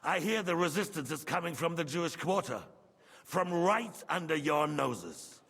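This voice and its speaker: A man playing an imperious, impatient king in a video game, husky and creaky, demanding answers. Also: on the high side, expressive, moderate pace, exaggerated voice. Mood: angry, demanding. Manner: demanding, sharp interrogation. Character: imperious, impatient king berating his officials. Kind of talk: video game drama acting. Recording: long hall reverb.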